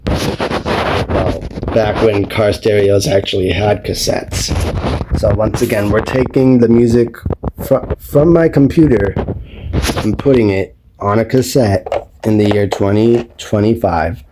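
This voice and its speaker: A man talking casually as a video host, explaining things step by step in a rambling, unhurried way. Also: lowish voice, steady tone, noisy background. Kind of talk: casual explanatory vlog talk. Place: indoors at home, behind the camera.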